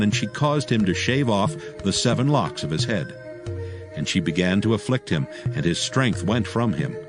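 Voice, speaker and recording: Male narrator, lowish voice, animated inflection, scripted Bible storytelling, music underneath.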